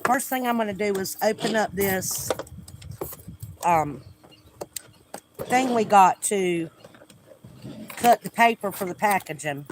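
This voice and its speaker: Southern man, homestead livestream host, high bright voice, unhurried, friendly filler-heavy small talk outdoors. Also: noisy background. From United States. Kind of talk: casual livestream chat with viewers. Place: outdoors at home.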